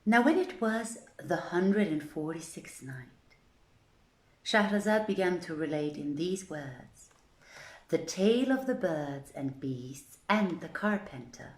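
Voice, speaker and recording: Woman reading old tales aloud, really slow and deliberate, roomy echoey sound. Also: fairly low pitch, animated. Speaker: storyteller, reader. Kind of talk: live literary storytelling, reading an archaic tale aloud.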